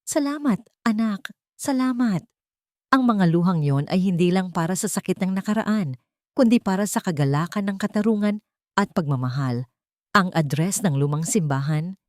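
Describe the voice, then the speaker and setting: A woman's voice: fairly low pitch, moderate pace, no echo, studio quality. A woman reading scripted dramatic story narration in an earnest, sentimental manner. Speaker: narrator and host of a storytelling channel.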